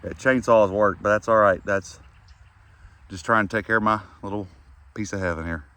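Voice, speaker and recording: A young man with a Kentucky accent, hoarse from a head cold, chatting easily to camera outdoors by a farm pond. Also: lowish voice, fairly even intonation, normal speed, sharp tone, no echo. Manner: easygoing, rambling, self-deprecating. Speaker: farm vlogger. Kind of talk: casual vlog talk to camera.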